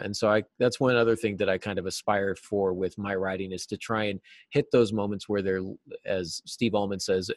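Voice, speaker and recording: A man's voice: deep voice, dry recording. A middle-aged man talking casually as an interview guest on a video call, explaining things with examples and some self-deprecation. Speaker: interview guest, novelist and writing teacher. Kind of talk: casual interview conversation about craft. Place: small cluttered office, indoors. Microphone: video call, seated at a desk.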